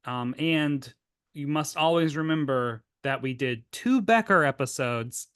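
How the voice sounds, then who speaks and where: A man with a fairly high voice chats as a podcast co-host in a dry, echo-free recording. He rambles casually and wryly, wandering into tangents and interrupting himself as he goes. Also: lively intonation, measured pace, fairly bright tone, clean tone. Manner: wry, tangential, self-interrupting asides.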